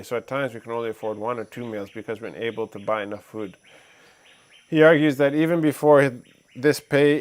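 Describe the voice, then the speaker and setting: A man co-hosting a casual livestream who chats loosely, laughs often and reads a news article aloud haltingly. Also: mid-range voice, clear, resonant tone. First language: English, Fijian not first language. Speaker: livestream co-host.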